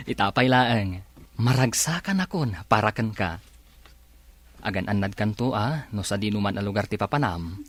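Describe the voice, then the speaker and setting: A man's voice: lowish voice, lively intonation, normal speed, long pauses. A man with an Ilocano accent acting a devoted, patient young fiance and son in a radio drama. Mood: tender.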